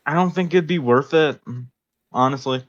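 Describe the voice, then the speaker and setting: A man's voice: a little high, normal speed, no room sound, dull audio. A man in casual podcast chat as a co-host, blunt and skeptical, swearing casually.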